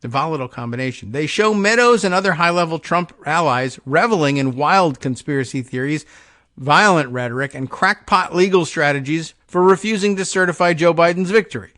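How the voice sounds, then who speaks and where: A man with a slightly high, sounding voice reads news copy and keeps stopping to add wry, offhand remarks. The sound is close and dry, with no room around it. He moves between a reading tone and loose, musing commentary. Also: brisk, fairly bright tone, dry recording. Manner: sardonic asides between read passages. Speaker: political podcast host.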